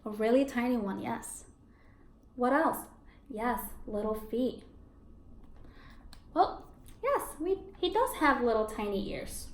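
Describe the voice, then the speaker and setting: High-pitched woman teacher, encouraging, echoey room. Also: slow pace, pauses often. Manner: encouraging, expressive, repeats and confirms children's answers. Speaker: elementary school teacher. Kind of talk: read-aloud lesson for young children, asking questions. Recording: echoey room with background noise.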